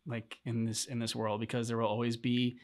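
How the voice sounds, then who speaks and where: A man with a mid-range voice talks casually as co-host of a reaction channel. He thinks out loud, halting between short fragments as he works through his opinion. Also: mid-range voice, monotone. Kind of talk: casual discussion of a video game story.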